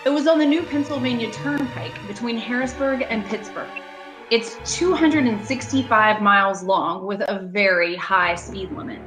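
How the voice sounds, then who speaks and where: A woman acting a haunted traveller in her thirties in an amateur radio thriller, urgent and halting, repeating phrases for emphasis. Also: on the high side, close to an everyday voice. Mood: uneasy recounting. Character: haunted 36-year-old woman traveller, shaken but insisting she's sane. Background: music and rain.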